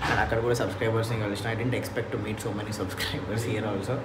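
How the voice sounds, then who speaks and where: A young man vlogging casually, mixing in English words as he talks about his trip and the rental flat. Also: lowish voice, normal speed. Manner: mixes Telugu with English, chatty and upbeat. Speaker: motovlogger. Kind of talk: casual vlog narration. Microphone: lav mic on helmet while riding. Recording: road noise.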